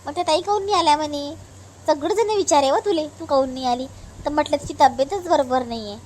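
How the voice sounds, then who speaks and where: A woman's voice: high-pitched, quick pace, darkish tone, slight background noise, close to an everyday voice. A woman voicing an agreeable eldest daughter-in-law in a family cartoon, chatting in colloquial Varhadi Marathi with a gossipy manner. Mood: chatty, pleased. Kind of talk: cartoon family drama dialogue.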